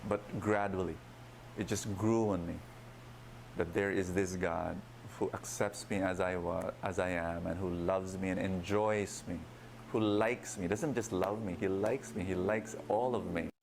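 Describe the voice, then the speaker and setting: A man with a very deep voice gives a reflective faith testimony, building up through repeated phrases. A faint hiss sits under the clip, played from an earlier recording. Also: steady tone, a little slow, a little mellow.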